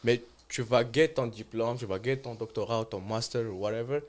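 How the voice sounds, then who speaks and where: A man's voice: mid-range voice, a little fast. A young man from Morocco, a guest on a podcast, chatting casually in slangy speech with bits of English mixed in. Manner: relaxed slangy talk mixing in English. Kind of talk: casual chat.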